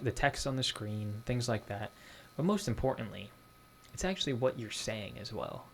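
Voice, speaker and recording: A man's voice: on the low side, slight background noise. A man from Boston teaching an online course in a casual, conversational way, repeating his key points. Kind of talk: casual spoken lesson.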